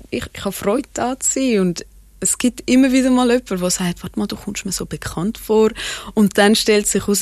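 A woman's voice: mid-range voice, no room sound, clean studio sound. A woman with a Swiss German accent, an actress guest chatting casually, laughing often and mixing in English phrases. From Switzerland. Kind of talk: casual interview chat.

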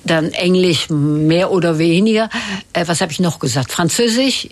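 An older woman born in Italy, whose first language is Italian, speaks German as an interview guest and tells stories in a lively way, full of fillers and repeated words. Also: lowish voice, moderate pace. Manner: lively storytelling with many fillers and repetitions. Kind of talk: casual interview conversation.

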